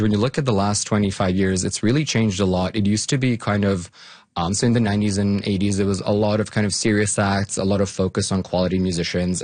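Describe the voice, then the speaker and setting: Low-voiced man in a dry interview. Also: a little mellow, dry recording. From Bosnia-Herzegovina. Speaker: academic guest, longtime fan of the topic. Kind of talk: conversational interview answers.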